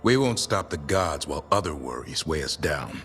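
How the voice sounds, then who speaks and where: Gruff game fighter, deep, terse and blunt, faint music behind. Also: male voice, expressive, moderate pace, a bit bright. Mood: resolute. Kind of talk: video game voice acting.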